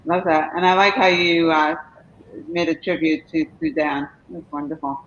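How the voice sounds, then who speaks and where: A woman's voice: deep voice, fairly even intonation, moderate pace. Woman hosting, dull lo-fi sound. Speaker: host of live Q&A. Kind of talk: conversational interviewing, reading viewer comments. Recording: dull lo-fi stream with background noise.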